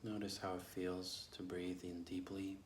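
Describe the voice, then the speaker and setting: A man leading a guided meditation as a teacher, speaking gently and slowly with long pauses. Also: deep voice, completely flat delivery. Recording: echoey room with faint background noise.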